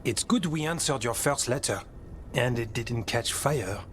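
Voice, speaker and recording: An actor playing an earnest, hopeful warden with a sense of wonder in a fantasy video game. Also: male voice, mid-range voice, unhurried, a bit bright, slight background noise, natural voice. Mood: earnest, reflective. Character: earnest, hopeful male warden who cherishes nature. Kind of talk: video game dialogue acting. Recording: game music underneath.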